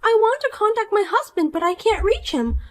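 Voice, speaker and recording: Woman voicing Poppy, a high whiny schemer. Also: sing-song, brisk. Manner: whiny, accusing, self-pitying. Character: shallow selfish jealous young woman. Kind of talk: dramatized story dialogue.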